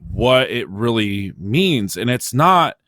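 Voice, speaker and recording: A man hosting a motorsport podcast, giving his opinions in casual, halting talk as he thinks aloud. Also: mid-range voice, big pitch swings, fairly bright tone, no echo. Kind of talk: casual racing discussion, opinionated.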